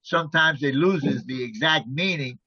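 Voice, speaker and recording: Man, husky voice, dry dull call audio, explaining, stumbles on repeats. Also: on the high side, moderate pace, no echo. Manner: explanatory, repeats words as he goes. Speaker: community outreach worker. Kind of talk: online group meeting.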